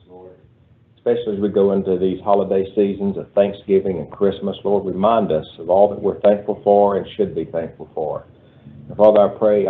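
A man leading a soft, reverent spoken prayer at the start of a meeting. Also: low-pitched. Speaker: board member leading prayer. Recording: tinny, roomy audio from a meeting hall.

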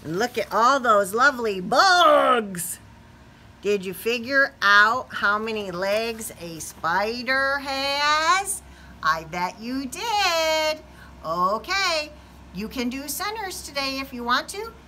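A middle-aged woman teacher reading a children's storybook aloud in a sing-song, expressive way, putting questions to young listeners. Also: a little high, slow pace, a little hiss or hum. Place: small classroom or office, indoors.

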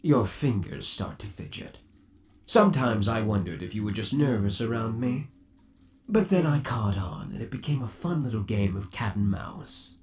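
A man's voice: on the low side, expressive, normal speed, a little mellow, slight background noise, lightly acted. A man playing an undead, obsessive boyfriend trapped in a mechanical suit, sweet-talking and possessive in a theatrical ASMR roleplay. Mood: teasing. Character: undead yandere boyfriend trapped in springlock suit, menacingly doting. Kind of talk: ASMR roleplay, scripted monologue to the listener.

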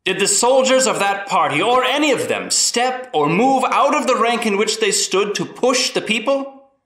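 A man's voice: high voice, big pitch swings, normal speed, a bit bright. A man acting a courteous, probing lawyer who questions witnesses formally in a historical courtroom drama. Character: courteous, probing male colonial defense lawyer. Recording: roomy echo, distant mic.

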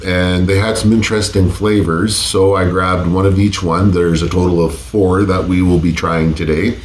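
A man in his forties speaks in a very low, congested voice, stuffed up from a cold. He talks in a laid-back, casual way and swears freely, recorded on a desk mic in a roomy home office with a faint hum behind him. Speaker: host. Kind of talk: casual taste-test vlog. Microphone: desk condenser mic.

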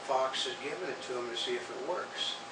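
A man's voice: medium pitch, a little fast. Older guy in his 60s rambling explanations, echoey room, mic far off, noisy. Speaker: co-host of a home media expo wrap-up. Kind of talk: casual chat. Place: small indoor room, seated on a couch. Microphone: camera a few feet away. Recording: echoey room, distant mic, clear noise.

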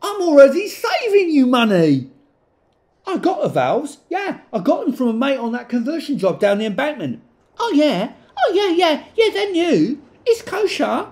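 A man's voice: very high-pitched, huge pitch swings, moderate pace, darkish tone, heavily acted. A man playing a chatty, defensive working-class plumber in an audio drama, protesting and repeating himself colloquially. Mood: insistent.

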